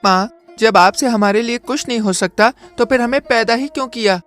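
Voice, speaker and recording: A woman's voice: fairly high pitch, moderate pace, faint music behind, close to an everyday voice. A woman voicing a poor young boy who pleads and complains in a cartoon story. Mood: pleading.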